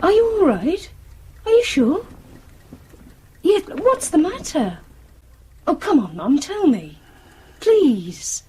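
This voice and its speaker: An actress playing a concerned grown daughter on the phone in a radio drama, with a gentle, coaxing, halting manner. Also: female voice, extremely high pitch, very animated, a little hiss or hum, heavily acted. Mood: worried, coaxing.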